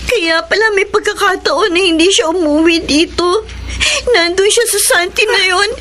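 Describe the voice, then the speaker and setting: Really high voiced young filipino wife, emotional, bitter, radio soap, noisy recording. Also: female voice, normal speed, pushed and bright. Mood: tearful, wronged. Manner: sobbing, emotional delivery. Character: wronged young wife, tearful, bitter and fiery. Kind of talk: Tagalog radio drama acting.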